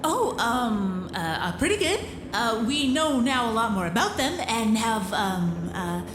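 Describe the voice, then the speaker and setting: Female audio drama hero, acted by a woman: high, very animated, unhurried, teasing and good-natured. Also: noisy background. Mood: flustered. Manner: casual, teasing, expressive. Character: cheeky, good-natured young mechanical-suited heroine. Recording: roomy, music behind the voice.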